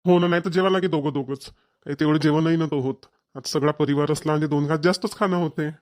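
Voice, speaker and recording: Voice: medium pitch, steady tone, quick pace, darkish tone, natural voice. A performer voicing a fond village grandfather in a family cartoon, talkative and homely, with a Varhadi Marathi accent. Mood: fond, content. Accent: Varhadi Marathi, Vidarbha.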